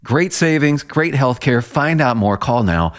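A male announcer with a medium-pitched voice reads an advertisement. He keeps the scripted delivery conversational and direct. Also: very animated, unhurried. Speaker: commercial announcer.

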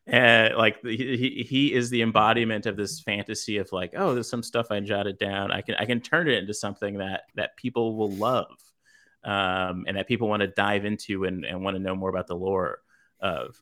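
Monotone man with a bright, crisp voice. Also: on the low side, moderate pace. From Los Angeles, USA. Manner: monotone, hesitant with many fillers. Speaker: writer, podcast guest. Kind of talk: casual interview answers.

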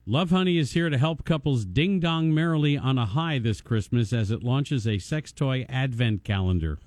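A man's voice: medium pitch, lively intonation, normal speed, darkish tone, no room sound, clean studio sound. A man co-hosting a radio show, reading a tabloid story aloud in a matter-of-fact way.

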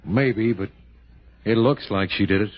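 An actor playing a plain-spoken, apologetic police sergeant in a 1960 radio drama, husky and hesitant, with folksy phrasing. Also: male voice, fairly low pitch, unhurried. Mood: matter-of-fact, curious. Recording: dull vintage radio recording.

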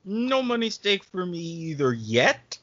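Slow-paced, animated man on a panel. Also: high-pitched, dry recording. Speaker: podcast panelist. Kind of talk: casual panel chat. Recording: dull, lo-fi close sound.

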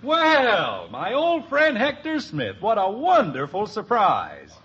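A man's voice: high-pitched, huge pitch swings, takes it slowly, a bit bright, dull audio, exaggerated voice. An American actor playing a bossy, scheming middle-aged suburban father in a wry, theatrical 1950s radio sitcom style. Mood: forced cheer. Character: exasperated, scheming suburban father putting on cheer. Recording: noisy, band-limited old radio recording.

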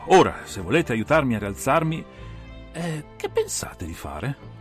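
A man's voice: mid-range voice, very animated, measured pace, soft background music, voice pushed up. A man voicing a terrified, shaken baronet in a dramatized audiobook, in agitated exclamations and questions. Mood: shaken, weak. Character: terrified, shaken young baronet rescued from a beast.

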